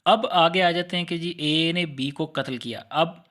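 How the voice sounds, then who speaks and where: Higher-pitched Pakistani man lecturing. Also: unhurried, fairly bright tone. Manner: conversational, explains by rephrasing and posing questions. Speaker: law lecturer. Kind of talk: explanatory lecture with examples.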